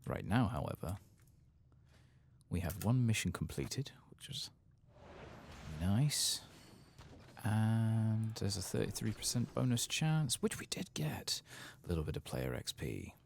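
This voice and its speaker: A man doing a soft-spoken ASMR game playthrough, murmuring musing asides and sometimes dropping toward a whisper. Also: fairly low pitch, sing-song, measured pace, gravelly, slight background noise. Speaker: gaming ASMR host.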